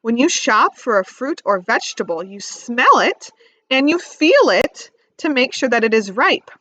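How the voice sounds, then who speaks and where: Very lively, high-pitched woman reading to children. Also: no room sound, rough recording. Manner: expressive, instructive, emphasizes key words, pauses to ask simple questions. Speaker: teacher reading aloud to young children. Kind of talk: read-aloud lesson with questions to young listeners.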